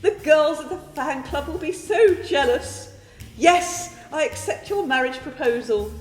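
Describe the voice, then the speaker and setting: A woman's voice: high voice, measured pace. A woman playing a melodramatic, lovestruck lady admirer in a comic radio play, gushing theatrically. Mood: gushing, excited. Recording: echoey hall reverb, music behind.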